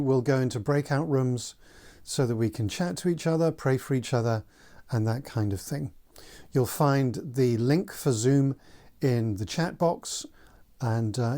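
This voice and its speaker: A man hosting a church gathering, welcoming people and then interviewing a guest in a friendly, measured way. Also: medium pitch, mellow.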